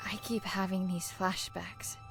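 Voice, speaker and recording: A woman's voice: lowish voice, level tone, a little slow, gravelly, fairly bright tone, soft background music, poor audio. A woman playing a weary, troubled test worker in a video game, speaking earnestly and hesitantly.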